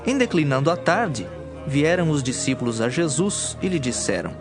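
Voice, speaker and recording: Higher-voiced male Bible narrator. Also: very animated, moderate pace. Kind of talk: scripted dramatized Bible narration. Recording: clear music bed behind.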